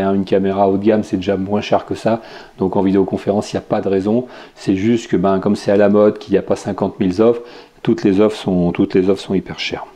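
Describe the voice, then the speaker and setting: French guy podcasting, super dark tone, lots of euh and restarts. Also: fairly low pitch. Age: adult with children. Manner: rambling, many fillers and restarts. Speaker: podcast host. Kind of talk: casual tech and games review.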